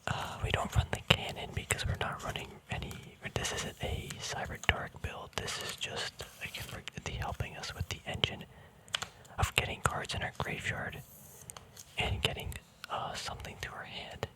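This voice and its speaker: A man speaking in a breathy whisper in a casual, relaxed ASMR style, rambling through explanations and often correcting himself. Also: moderate pace, rough recording. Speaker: host of a hobby card-game ASMR video.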